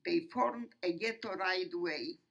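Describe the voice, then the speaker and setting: Elderly Polish-accented woman, slow and solemn. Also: lowish voice, gravelly, muffled recording. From Poland. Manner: solemn, deliberate, halting delivery with pauses. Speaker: Holocaust survivor speaker. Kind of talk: personal testimony to young audience.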